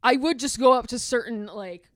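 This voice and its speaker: A woman's voice: a little high, moderate pace, no room sound, clean studio sound. A woman with an American Midwest accent, chatting casually and candidly as a podcast co-host, with strong opinions freely given. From Chicago, American Midwest.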